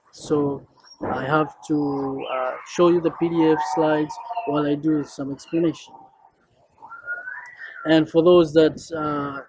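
Young Kenyan man, husky, slightly high voice, casual teacher, outdoors, camera at arm's length. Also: warm tone, dry recording, rough recording. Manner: friendly, loose, with many fillers. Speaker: Swahili teacher. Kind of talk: casual course introduction to camera. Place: rooftop outdoors at evening.

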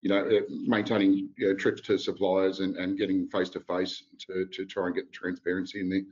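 Flat, low man on a video call. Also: fairly quick, husky. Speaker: panelist, facades construction director. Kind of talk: panel discussion on a video call. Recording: dull lo-fi video call audio.

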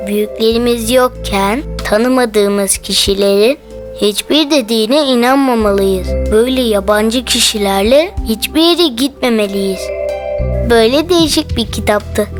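A woman playing a spirited, touchy little boy in an animated children's cartoon. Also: a little high, normal speed, natural voice. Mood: earnest. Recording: music behind the voice.

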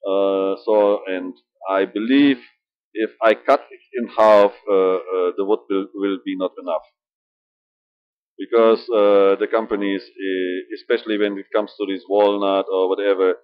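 A middle-aged man with a German accent, speaking halting English at home with many filler sounds and restarts. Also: low voice, fairly even intonation, dry recording. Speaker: model ship builder. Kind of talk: casual hobby vlog. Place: small room indoors. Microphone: webcam at desk. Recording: dull lo-fi webcam audio.

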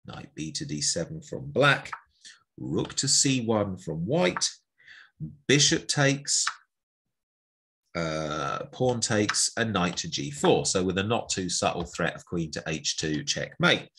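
A middle-aged man with a low, husky voice and a bright, forceful edge. He talks through chess moves one at a time. His manner is chatty and playful. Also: very animated, takes it slowly. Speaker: chess teacher. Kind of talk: chess lesson narration over an on-screen board.

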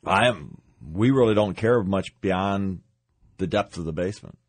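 A man's voice: deep voice, normal speed, dry recording. A man speaking as a trade expert guest, explaining informally in a radio interview. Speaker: guest, basement builder.

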